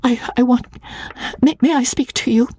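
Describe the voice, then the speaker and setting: A woman's voice: high voice, fairly even intonation, moderate pace, mellow, husky, no echo, pitched above the performer's own voice. A woman voicing a nervous young bride who stammers in a breathless, near-whispered voice. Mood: anxious, pleading.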